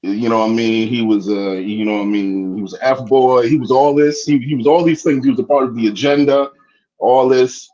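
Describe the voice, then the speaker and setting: Man, fired up, rambling, mid-pitched, muffled lo-fi call. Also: fairly quick, warm tone. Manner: fired up, rambling, repeats "you know what I mean". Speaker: guest caller. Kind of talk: heated casual commentary.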